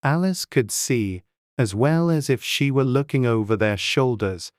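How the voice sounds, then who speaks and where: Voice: mid-range voice, expressive, measured pace, no echo, clean studio sound. An audiobook narrator reading a scripted storybook.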